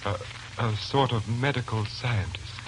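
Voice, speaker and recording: An actor playing a courteous, secretive, brooding lodger with a soft, hesitant delivery in a 1940s radio drama. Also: male voice, medium pitch, measured pace, noisy background, natural voice. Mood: polite, reserved. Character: quiet, brooding, secretive gentleman lodger, a self-styled pathologist. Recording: old 1940s radio broadcast, music behind.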